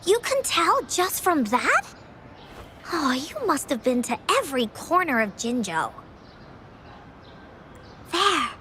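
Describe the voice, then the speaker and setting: A woman's voice: very high voice, pushed and bright, slight background noise, exaggerated voice. A woman voicing a cheerful, childlike girl who talks about herself in the third person, in a video game. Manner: bubbly, refers to herself by name. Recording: game audio with music behind.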